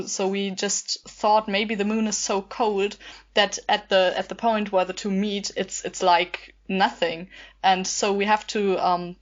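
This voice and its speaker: A woman chatting casually as a podcast guest, improvising in a tentative, hesitant manner. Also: mid-range voice. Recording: dull, muffled sound.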